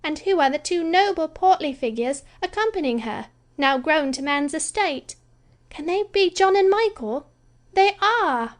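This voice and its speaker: A woman's voice: high-pitched, animated, unhurried, voice pushed up. A woman voicing an earnest, motherly girl who tells a story with theatrical flair. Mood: storytelling. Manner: theatrical, sing-song telling.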